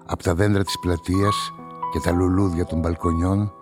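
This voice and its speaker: Man acting brooding newspaperman narrating radio drama, low voice, measured, faint music under him. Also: level tone, lightly acted. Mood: wistful. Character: brooding, solitary male newspaperman narrating a murder story. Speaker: narrator and protagonist of a radio play. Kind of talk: literary first-person radio drama narration.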